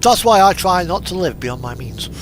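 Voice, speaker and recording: A man's voice: sits high, expressive, normal speed, a little hiss or hum. A British man chatting casually and musing off the cuff while he plays a driving game. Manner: rambling, musing, unscripted. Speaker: streamer playing a truck driving simulator. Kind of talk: casual chat while gaming. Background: radio music and truck game engine sound.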